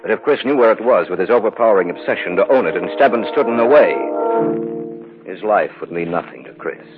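A man's voice: on the low side, expressive, a little fast, music in the background, lightly acted. An actor playing a sober, level-headed man narrating his own eerie tale in a 1950s radio drama. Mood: grave. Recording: muffled old radio recording.

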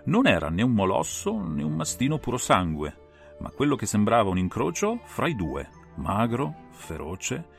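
A man's voice: fairly low pitch, huge pitch swings, normal speed, gravelly. A man narrating an audiobook in a dramatic, theatrical and suspenseful style. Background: faint music and sound design.

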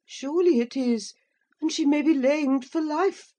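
A woman's voice: sits high, unhurried, warm tone, voice pushed up. A woman playing a haughty, indignant old lady. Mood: shocked. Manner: indignant, disapproving. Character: haughty shocked elderly gentlewoman.

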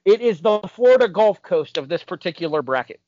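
A man with a slightly high voice chats casually as a podcast co-host. The recording is very dry and a little dull. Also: lively intonation, a little fast, darkish tone, no room sound. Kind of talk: casual chat.

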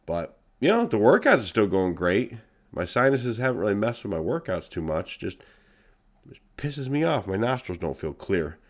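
Stuffed-up guy doing his solo podcast, nasal, swears a lot, kinda low pitched. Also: normal speed. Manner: blunt, profane, animated. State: congested, nasal-sounding. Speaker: podcast host. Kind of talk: casual solo podcast monologue.

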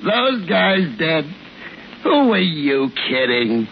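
Wisecracking 50s radio husband, cocky and slangy, muffled hissy old recording. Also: male voice, a little high, big pitch swings, takes it slowly, gravelly, heavily acted. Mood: mocking disbelief. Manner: flippant, slangy. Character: cocky, disbelieving husband who thinks danger is a prank. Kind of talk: 1950s radio drama acting.

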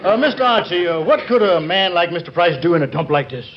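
An actor playing a doubtful, deadpan, wisecracking tavern waiter in a 1950s radio comedy. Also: male voice, high-pitched, fast talker, a faint crowd. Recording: very noisy muffled 1950s radio broadcast.

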